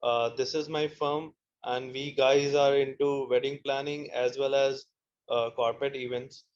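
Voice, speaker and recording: Guy on a muffled call, super flat delivery, lots of uhs. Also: mid-range voice. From India. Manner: halting, many uh fillers. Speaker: event planner guest. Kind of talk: online panel discussion.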